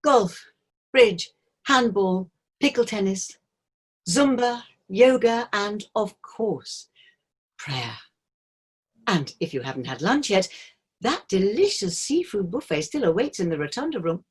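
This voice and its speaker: Woman as lilting, husky cruise ship captain. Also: medium pitch, sing-song, full character voice. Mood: soothing, cheery. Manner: formal, measured announcement delivery. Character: blithely cheerful female cruise ship captain, polished and officious. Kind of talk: staged play reading.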